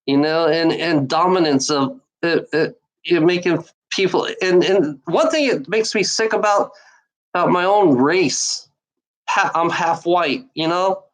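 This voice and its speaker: A woman's voice: fairly low pitch, rough recording. A woman delivering an angry, profane monologue to the camera, repeating phrases and restarting sentences often. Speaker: online commenter.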